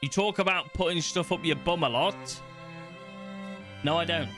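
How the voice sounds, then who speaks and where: Young male streamer, blunt and chatty, bright fairly high voice over faint music. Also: moderate pace. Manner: chatty, blunt, talks to his chat, swears casually. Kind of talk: casual livestream chat, reading test questions aloud.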